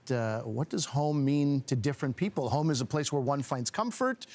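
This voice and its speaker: A man hosting a live book debate, easygoing and quick to laugh between remarks. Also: medium pitch, darkish tone. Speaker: host.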